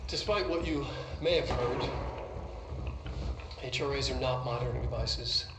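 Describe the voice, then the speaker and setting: Man acting nervy male game scientist, hesitant, rambling, dry delivery, mid pitch, roomy echo, background noise. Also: unhurried, close to an everyday voice. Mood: awkward, dry. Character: awkward, nervy male scientist giving safety briefings. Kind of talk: halting, informal scripted briefing.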